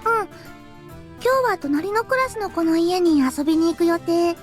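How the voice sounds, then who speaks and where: A woman's voice: very high voice, animated, fast, soft background music. A woman playing a girlish young female character in a Japanese visual novel. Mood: wistful, hesitant.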